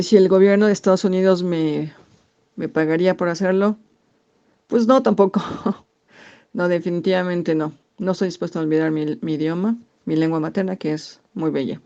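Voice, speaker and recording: Woman, Mexican accent, gravelly, hesitant with fillers, muffled lo-fi recording. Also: on the low side, fairly even intonation, warm tone. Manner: thoughtful, halting with frequent fillers. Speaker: interviewee, English teacher. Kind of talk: answering interview questions. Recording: dull lo-fi recording.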